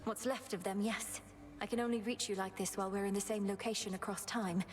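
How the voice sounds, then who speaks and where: An actress playing a determined young princess and sister in a video game, earnest, formal and urgent. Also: female voice, medium pitch, steady tone, a little fast, natural voice. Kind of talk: video game dialogue acting.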